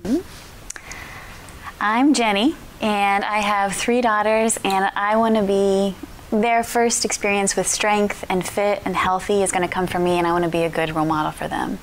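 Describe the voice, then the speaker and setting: A woman in her mid-thirties speaks earnestly and sincerely as she introduces herself. Her voice sits at a middle pitch. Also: fairly even intonation, normal speed, slight background noise. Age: 30s. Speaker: challenge participant.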